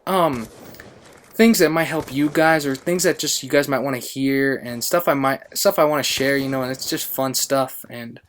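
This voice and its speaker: A man's voice: medium pitch, normal speed, fairly bright tone, a little hiss or hum. An American man casually telling a story over gameplay, rambling and often interrupting himself. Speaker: gaming channel storyteller.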